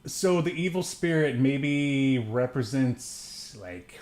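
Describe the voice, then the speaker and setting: A man's voice: medium pitch, expressive, takes it slowly, darkish tone. A man co-hosting a podcast, giving a casual, comedic movie recap in a rambling, jokey way with frequent chuckles. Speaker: podcast co-host reviewing films.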